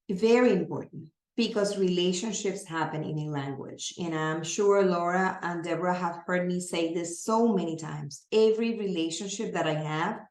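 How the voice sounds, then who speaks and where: A woman's voice: on the low side, darkish tone. A woman with a Spanish accent, a native Spanish speaker, giving an earnest training presentation on serving families, explaining with everyday examples. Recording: roomy echo.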